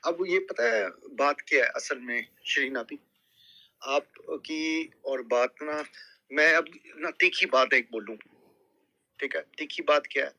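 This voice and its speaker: A man with a Pakistani accent in a live political discussion, speaking emphatically and deliberately, often checking that listeners agree. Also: on the high side, pushed and bright, no room sound, low-quality recording. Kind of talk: impassioned political talk in a live group audio discussion.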